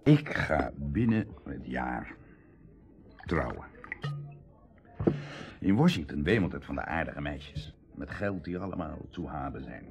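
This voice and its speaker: An actor playing a proud, hard-up aristocratic bachelor in a radio drama, confiding and passionate, with emotional, dramatic delivery shifting from hushed to outbursts. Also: male voice, extremely low pitch, creaky, dull audio, full character voice. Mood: determined. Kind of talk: Dutch radio drama acting.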